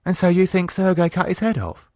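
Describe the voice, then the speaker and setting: A man voicing a sharp-tongued wife, talking in a blunt, quick, scolding way. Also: high-pitched, dry recording, clean studio sound, pitched above the performer's own voice, natural voice.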